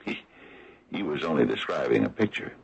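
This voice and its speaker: A wry small-town lawman in an old radio mystery broadcast. His voice is extremely deep, gravelly and rough, swinging expressively as he draws out each dry, sardonic point with deliberate, folksy hesitation. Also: big pitch swings, lo-fi sound, heavily acted. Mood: measured, knowing. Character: wry, slow-talking older small-town lawman exposing a cover-up. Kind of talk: 1970s radio mystery acting.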